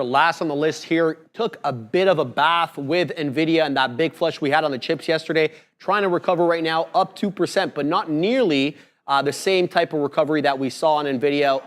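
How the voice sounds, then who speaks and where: Guy on a trading stream, brisk stock update, slightly higher voice. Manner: brisk, reads off figures. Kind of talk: quick stock market update.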